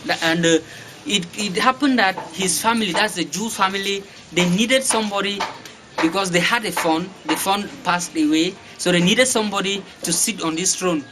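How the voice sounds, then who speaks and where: Cameroonian man, high-pitched, hesitant. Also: normal speed. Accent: Cameroonian English. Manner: hesitant, many fillers and restarts. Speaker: guest. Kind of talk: interview answers. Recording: noisy background.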